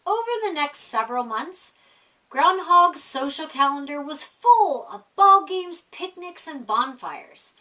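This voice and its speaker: A woman storyteller reading a children's picture book aloud in an expressive style. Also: high voice, a little slow. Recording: voice a little distant from mic.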